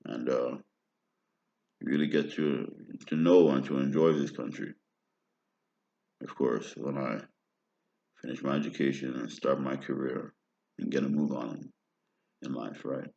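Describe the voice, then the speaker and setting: A man's voice: a bass voice, steady tone, unhurried, creaky, pauses often, mellow. A man in his thirties with an American accent, talking in a meandering personal monologue and often checking in with "right?". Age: 30s. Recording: roomy, dull lo-fi recording.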